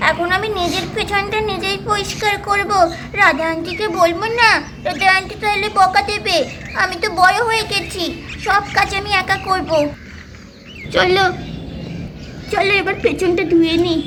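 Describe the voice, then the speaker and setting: Woman putting on a very high-pitched little child doll. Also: steady tone, normal speed, background noise. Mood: proud, determined. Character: proud, naughty small child doll acting grown-up. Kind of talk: children's doll-play cartoon dialogue.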